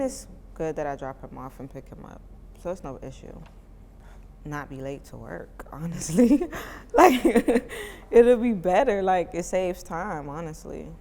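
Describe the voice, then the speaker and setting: Low-voiced young woman in a reverberant room. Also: a little hiss or hum. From Miami. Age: 20s. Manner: chatty, many "like" fillers, relaxed informal speech. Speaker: parent giving a testimonial. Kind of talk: casual interview answers.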